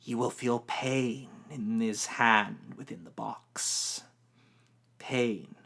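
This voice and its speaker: A stern, ancient woman, as a male narrator puts her on, speaks with slow, commanding menace in an audiobook reading. Her words come drawn out, the tone bright and tense. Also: medium pitch, expressive, heavily acted.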